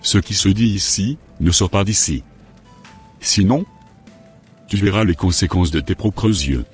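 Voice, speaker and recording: A man voicing a menacing, domineering spiritual master in an amateur cartoon dub, speaking in a commanding, deliberate way. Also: low voice, soft background music. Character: menacing, domineering male spiritual master, a sinister occult healer.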